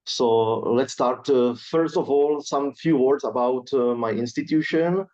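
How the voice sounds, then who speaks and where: A man's voice: medium pitch, measured pace, darkish tone. Hesitant man on a lo-fi call. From Czech Republic. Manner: hesitant, many filler sounds, careful non-native phrasing. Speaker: researcher presenting a webinar. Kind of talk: academic webinar presentation.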